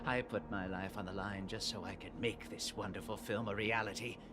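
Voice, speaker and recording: An actor playing a passionate, defiant film director in a video game. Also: male voice, fairly low pitch, steady tone, brisk, lightly acted. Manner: earnest, proud, dramatic. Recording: game audio with clear music.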